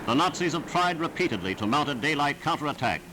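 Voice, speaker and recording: A man's voice: a little high, sing-song, quick pace, background noise. A man reading scripted 1940s wartime newsreel narration in a formal, dramatic, declamatory manner. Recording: vintage 1940s newsreel soundtrack.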